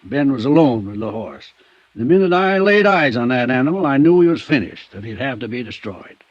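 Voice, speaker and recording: An American actor playing a folksy, proud older country veterinarian in a 1950s radio drama, defensive when questioned. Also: male voice, mid-range voice, expressive, a little fast, natural voice. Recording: dull vintage radio recording.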